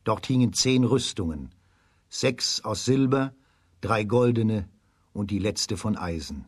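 A man narrating a scripted fairy tale for a children's radio play in a measured storytelling manner. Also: lowish voice, expressive, breathy edge, no room sound, clean studio sound.

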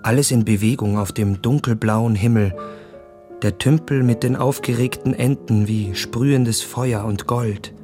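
Actor as Andreas, reflective man, medium pitch. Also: a little mellow, lightly acted. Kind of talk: lyrical literary radio narration.

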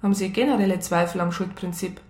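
A woman acting as a strict police psychologist in a radio crime play. Her lowish voice is matter-of-fact and rather formal, with a roomy echo around it. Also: fast, a little mellow, slight background noise, close to an everyday voice. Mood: stern, formal. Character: strict, self-conflicted female police psychologist, narrating. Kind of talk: radio crime drama, colloquial narration and dialogue.

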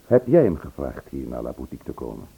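A man's voice: a bass voice, big pitch swings, fast, vocal fry, warm tone, slightly rough, exaggerated voice. An actor playing a worried, insistent man pressing for the truth in a radio play. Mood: probing. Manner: probing, insistent.

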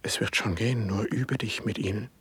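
Brooding, low-voiced poet. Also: male voice, fairly quick, a bit bright, slightly rough. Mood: bitter, agitated. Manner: declaimed, fervent stage diction. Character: sensitive, brooding young male poet, melancholy and driven. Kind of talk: German classical verse radio drama.

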